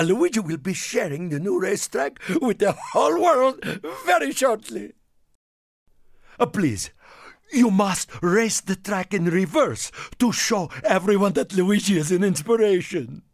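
An actor playing an excitable, friendly little Italian car in a video game, with an Italian accent and a theatrical, effusive manner. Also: male voice, high voice, creaky, no room sound, studio quality, full character voice. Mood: eager, proud. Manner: theatrical, effusive, speaks of himself in third person. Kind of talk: video game character voice lines.

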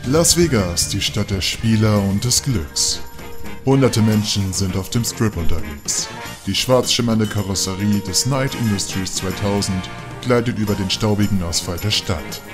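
A man's voice: on the low side, measured pace, music in the background. A man narrating an audio drama from a script.

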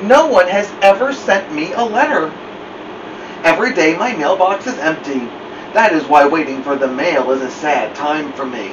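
Man voicing a glum storybook toad, high-pitched. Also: normal speed, dull audio, close to an everyday voice. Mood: sad. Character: glum, defeatist male toad in children's storybook. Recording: distant mic, faint room noise.

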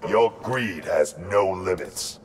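An actor playing a stern, threatening warlord in a video game, with a gravelly voice. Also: male voice, low voice, slight background noise. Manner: slow, threatening. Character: stern, menacing male warlord who punishes failure. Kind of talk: video game character banter. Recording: game audio over music.

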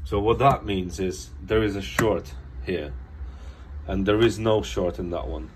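A man's voice: deep voice, fairly even intonation, a little slow, noisy background. A man with a cold explaining a phone repair at his workbench in a casual, plain way. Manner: plain, explanatory, some restarts. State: has a cold. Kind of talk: casual hands-on repair demo. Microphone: phone camera held over bench.

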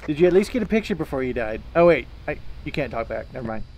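A man's voice: medium pitch, big pitch swings, fast, rough recording. A man streaming a co-op horror game with casual, joking commentary and some swearing. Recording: loud game ambience noise. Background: horror game sounds.

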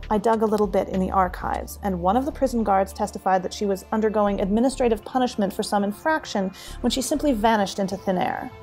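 A woman's voice: fairly high pitch, darkish tone. A woman playing a wary, well-informed informant in a fantasy audio drama, briefing formally and matter-of-factly. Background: music.

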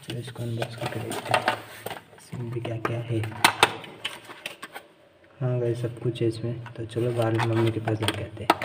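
A man's voice: mid-range voice, completely flat delivery, normal speed, long pauses. A young man chatting casually to his phone as a vlogger, in a handheld recording. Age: young adult. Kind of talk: casual vlog chat to camera. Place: at home, moving between rooms, rooftop and yard. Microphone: handheld phone at arm's length. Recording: noisy handheld recording with ambient sound.

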